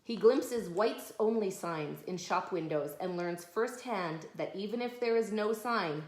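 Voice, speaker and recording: A woman's voice: mid-range voice, unhurried. Woman reading aloud in an echoey room. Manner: explains patiently in simple words. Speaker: mother reading a picture book aloud to her children. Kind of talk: read-aloud with conversational explanations to a child.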